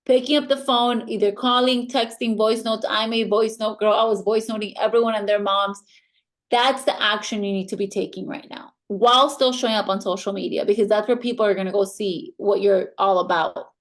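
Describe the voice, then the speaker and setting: A woman's voice: medium pitch, fairly even intonation, a little fast. A woman with a foreign accent giving a motivational training talk as a team mentor, emphatic and insistent.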